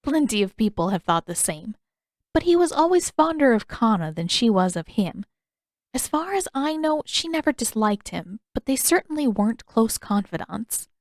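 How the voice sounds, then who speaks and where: Storybook elder healer, played by a woman: old woman, high voice, gentle, wistful, measured and formal, very dry sound. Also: sing-song, no room sound. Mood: gentle, thoughtful. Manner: measured, formal, reflective phrasing. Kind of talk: audiobook character dialogue.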